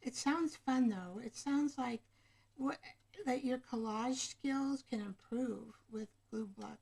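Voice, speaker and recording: Lady doing a craft livestream, higher voice w lots of fry, rambly and chatty with viewers. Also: takes it slowly, a little mellow, poor audio. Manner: rambling, chatty, often addressing viewers by name. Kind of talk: casual live chat with viewers. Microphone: camera microphone.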